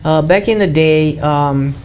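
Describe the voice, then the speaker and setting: A woman's voice: deep voice, slow pace, a little mellow, a little hiss or hum. A woman from Romania with a Romanian accent, co-hosting a casual conversation in a rambling, self-correcting way and laughing often. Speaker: co-host.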